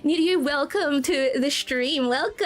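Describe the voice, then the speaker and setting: A woman's voice: high voice, takes it slowly, a bit bright. A woman with a Scottish accent live-streaming from home, bubbly and giggly, gushing thanks to her viewers. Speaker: VTuber streamer. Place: indoor home streaming setup.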